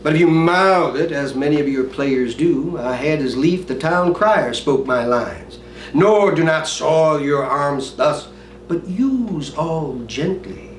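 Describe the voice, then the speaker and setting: A man playing an earnest, brooding prince, reciting a Shakespearean monologue in a declamatory way with weighty pauses. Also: fairly high pitch, animated, voice pushed down. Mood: earnest, impassioned. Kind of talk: dramatic Shakespearean stage monologue. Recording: roomy, distant mic, faint noise.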